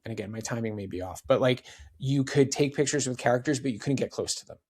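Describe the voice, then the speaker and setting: A man's voice: mid-range voice, quick pace, a little mellow, husky. Guy in his 40s, podcast guest, rambly chatty storyteller, lots of likes and rights. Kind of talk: casual interview chat.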